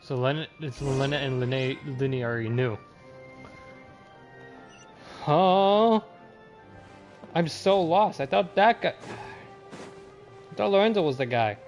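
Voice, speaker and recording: A man doing casual, profane live game commentary as a streamer, often sounding confused and reacting out loud. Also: on the high side, unhurried, pauses often, soft background music. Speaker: video game livestreamer.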